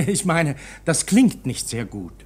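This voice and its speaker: Older uncle: fussy, alarmed, urgent, very animated pitch with some husk. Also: male voice, slow pace, breathy edge, full character voice. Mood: worried. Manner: agitated, urgent, repeats words insistently. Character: fussy, alarmed older uncle anxious about family honour. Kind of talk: radio drama acting.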